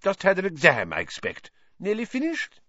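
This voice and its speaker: A man's voice: high-pitched, huge pitch swings, moderate pace, a bit bright, no room sound, lo-fi sound, voice pushed up, full character voice. A man voicing a fussy middle-aged male government official with a raised, breezy delivery.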